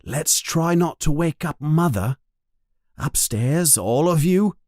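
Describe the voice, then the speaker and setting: A man voicing a cheeky teenage boy urging his siblings quietly along. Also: a little high, animated, slow pace, no echo, clean studio sound, pitched above the performer's own voice. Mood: hushed. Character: teenage boy, cheeky, bossy older brother.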